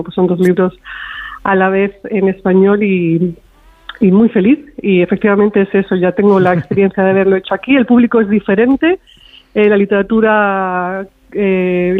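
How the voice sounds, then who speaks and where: A woman answering as an interview guest, hesitant and often restarting, over a call. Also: medium pitch. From Spain. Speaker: interview guest, author and illustrator. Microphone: remote call into a radio studio. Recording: tinny narrow phone line.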